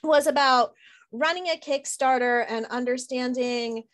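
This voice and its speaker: Woman, middle-aged, high pitch, interview host, enthusiastic, informal chat, video call at home. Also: unhurried, fairly bright tone. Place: attic room at home, indoors, on a video call. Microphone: video call computer microphone.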